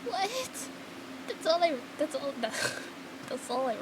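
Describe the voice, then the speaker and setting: A woman's voice: high-pitched, expressive, a little slow, background noise. A young adult woman giving casual reaction commentary in a playfully self-mocking, dramatic way. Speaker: online video creator. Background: loud background music.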